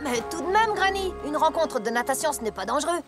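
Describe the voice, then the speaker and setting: Jeune jument franche, voix haute. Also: female voice, high-pitched, expressive, quick pace. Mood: reluctant, reasoning. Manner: frank, plain-spoken, concerned. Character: earnest, protective young adult big-sister mare. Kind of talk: animated cartoon dubbing. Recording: faint background music.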